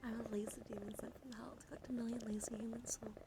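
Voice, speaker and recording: A woman hosting a casual ASMR livestream, talking softly and often dropping into whispers, in a rambling, easygoing way. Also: on the high side, level tone, very fast. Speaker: livestream host. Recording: clearly audible background noise.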